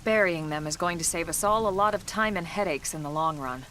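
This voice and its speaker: An actress playing a composed, brisk military officer who instructs with measured authority in a video game. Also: female voice, on the low side, fairly bright tone, slight background noise, close to an everyday voice. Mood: calm, businesslike. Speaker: squad leader and trainer. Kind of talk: scripted video game dialogue.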